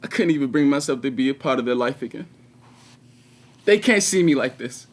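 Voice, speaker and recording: An actor playing an anguished, regretful 21-year-old prisoner in a confessional stage monologue with dramatic pauses. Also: male voice, medium pitch, animated, natural voice. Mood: anguished, agitated. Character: anguished 21-year-old male prisoner, former track star, bitter and regretful.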